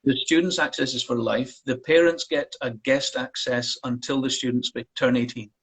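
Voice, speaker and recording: A man, a college counselor, answering interview questions in a relaxed way with frequent pauses and ums. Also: mid-range voice, husky, rough recording.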